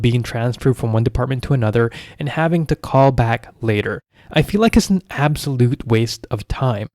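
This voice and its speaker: A man's voice: medium pitch, a little mellow, no echo, studio quality. A man hosting a solo podcast, speaking from a script in a conversational way and addressing the listener directly. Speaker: podcast host.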